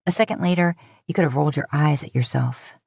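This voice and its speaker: A woman's voice: deep voice, fast, creaky, slightly rough, no room sound, clean studio sound. A woman reading scripted fiction as an audiobook narrator.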